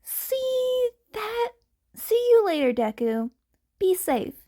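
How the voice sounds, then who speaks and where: A woman voicing an anxious, caring teenage girl in an audiobook-style story, tense and gently scolding. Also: very high voice, very animated, takes it slowly, pitched above the performer's own voice, exaggerated voice. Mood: gentle, concerned.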